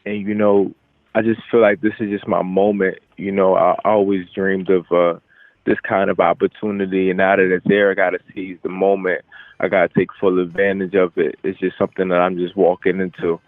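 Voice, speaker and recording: A young man, a boxer, giving casual, halting interview answers with repeated phrase starts over a telephone. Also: sits low, little pitch movement, no room sound. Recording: narrow, tinny phone line.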